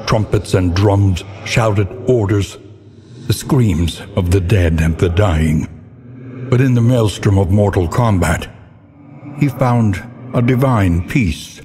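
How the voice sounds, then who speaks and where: Grim gothic game narrator: deep, slow and solemn, theatrical weight on each phrase, in a cavernous reverb. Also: male voice, mellow. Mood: somber storytelling. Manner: grave, solemn, theatrical, measured delivery. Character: grim, ominous male narrator of a dark fantasy game. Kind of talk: dramatic scripted gothic fantasy narration. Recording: long cavernous reverb, faint background noise.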